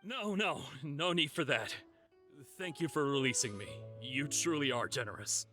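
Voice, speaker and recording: A man's voice: a little high, normal speed, bright, forceful tone, soft background music. A man playing a cowardly, cornered young thief in a video game, panicky and pleading. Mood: nervous, relieved.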